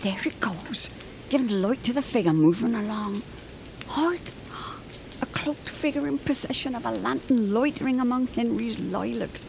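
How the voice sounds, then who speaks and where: A woman's voice: on the high side, lively intonation, moderate pace, vocal fry, a little mellow, slight background noise, poor audio. A woman playing an anxious but proudly stubborn older widow, speaking in dramatic, old-fashioned phrases in a period audio drama. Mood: hushed alarm.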